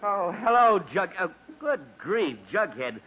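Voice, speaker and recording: A man's voice: high voice, big pitch swings, a little slow. A man playing an exasperated middle-aged father in a 1940s radio comedy, sputtering and flustered. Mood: flustered indignation. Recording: muffled, noisy 1940s radio recording.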